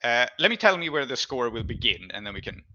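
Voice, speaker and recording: A man running a tabletop role-playing game, improvising scene descriptions and rules calls in a halting, thinking-aloud way. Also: medium pitch, big pitch swings, sharp tone, no echo, muffled recording.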